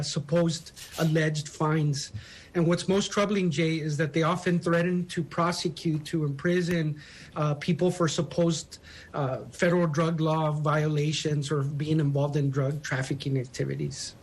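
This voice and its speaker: A man's voice: a little high, low-quality recording. A man speaking as a public information officer, explaining things as a guest in a news interview. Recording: phone interview.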